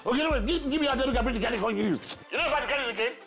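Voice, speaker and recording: A man playing a brash phone credit seller in an ad skit, with a Nigerian accent and pushy, rapid pidgin banter. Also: very high-pitched, a bit bright, soft background music, voice pushed up. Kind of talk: radio-style ad skit.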